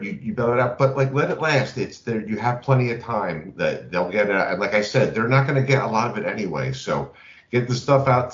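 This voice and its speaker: Male podcast host, low register, conversational gaming talk, roomy and slightly muffled audio. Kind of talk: casual tabletop gaming chat.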